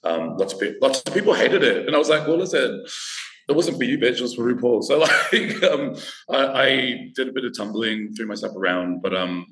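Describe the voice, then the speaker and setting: A man with a raspy voice, chatting playfully as a drag performer guest. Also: mid-range voice, animated, brisk, a bit bright, roomy sound, poor audio. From Australia. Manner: playful, camp, laughing often. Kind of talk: casual podcast chat.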